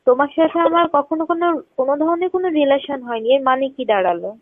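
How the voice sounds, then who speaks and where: A woman's voice: sits high, lively intonation, rapid-fire, no echo. A woman in a confrontational phone conversation, speaking insistently and pressing with repeated questions. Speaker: caller. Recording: tinny narrowband phone call recording.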